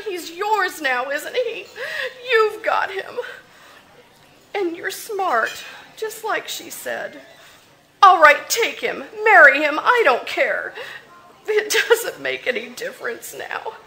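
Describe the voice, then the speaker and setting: A woman's voice: very high-pitched, big pitch swings, slow, gravelly, pushed and bright, exaggerated voice. A woman playing a resentful sister who lashes out in emotional outbursts, in a live 1940s-style radio drama. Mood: bitter. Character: bitter, easily led younger sister.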